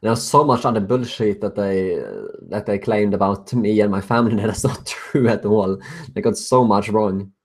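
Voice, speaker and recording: A young man with a Swedish accent talks through a live-stream Q&A in a casual, chatty way, chuckling often. His voice sits a little low. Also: moderate pace. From Sweden. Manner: casual, chuckling often, reading out viewer questions. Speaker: host.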